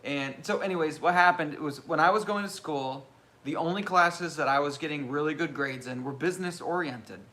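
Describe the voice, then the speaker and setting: A man in his thirties with a loud voice, hosting a casual live question-and-answer chat and rambling with self-mocking humor. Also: fairly high pitch, moderate pace, poor audio. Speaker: channel host.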